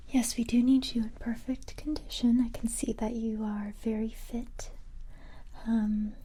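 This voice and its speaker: A woman speaks in a breathy, very dark and mellow whisper for an ASMR roleplay. Her voice sits fairly high yet stays even, as she plays a tough but gentle recruiter. The delivery is halting and reassuring. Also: a little hiss or hum. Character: capable, tough yet gentle young female special-forces recruiter.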